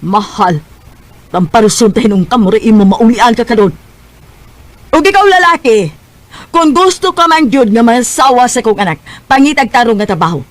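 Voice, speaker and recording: Woman acting a high-pitched, scolding Cebuano mother. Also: animated, moderate pace, dry recording, lightly acted. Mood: angry, scornful. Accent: Cebuano Filipino. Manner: scornful, commanding. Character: stern, scolding mother who rejects her daughter's suitor. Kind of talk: Bisaya radio drama acting.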